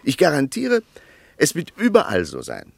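An actor playing an argumentative, ironic traveller in a German radio play, lecturing emphatically and skeptical of ideals. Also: male voice, a little high, huge pitch swings, normal speed, gravelly, husky, no echo, full character voice.

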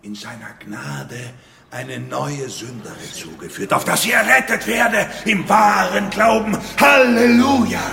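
An actor playing a fanatical, menacing cult preacher in a radio drama, declaiming theatrically with drawn-out solemnity. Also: male voice, on the high side, gravelly, pushed and bright, slight background noise, heavily acted. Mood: solemn, unctuous. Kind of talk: German radio drama sermon and chanted prayer. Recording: echoey church-like hall, music behind.

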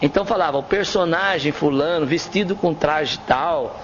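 Brazilian radio guy explaining in an interview, slightly high voice, muffled, bit of noise. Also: normal speed, fairly bright tone. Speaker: radio broadcaster and enthusiast interviewed. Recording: muffled, faint background noise.

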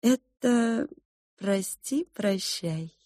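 A woman's voice: medium pitch, dry recording, clean studio sound, pitched above the performer's own voice. A woman doing a sighing, fretful royal cook. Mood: sighing, hushed. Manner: sighing, hushed and drawn-out. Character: fretful, melodramatic junior royal cook, a mother.

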